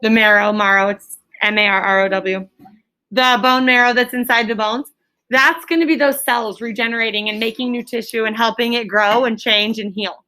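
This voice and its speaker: A woman's voice: fairly high pitch, steady tone, moderate pace, pushed and bright, rough recording. A woman teaching elementary school pupils in a classroom, prompting them with questions and repeating them, often checking with "right?".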